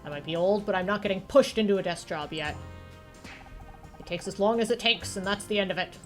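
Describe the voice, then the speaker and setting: Woman doing a gruff, curt old police detective. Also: medium pitch, brisk, faint music behind, natural voice. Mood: gruff, defensive. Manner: curt, sardonic.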